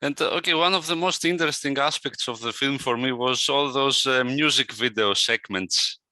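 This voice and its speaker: Male interviewer, short questions, soft murmurs, dry close sound. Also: mid-range voice, normal speed, no room sound.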